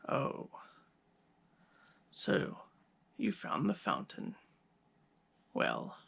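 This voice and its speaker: A man voicing a smug, menacing scientist villain in an ASMR roleplay, gravelly and husky, dropping toward whispers, with theatrical taunting pauses. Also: medium pitch, fairly bright tone, heavily acted. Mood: sinister, smug.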